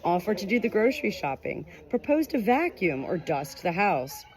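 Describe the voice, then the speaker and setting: A woman's voice: mid-range voice, very animated, moderate pace, darkish tone. A woman reading a nonfiction book aloud as scripted audiobook narration. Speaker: audiobook reader.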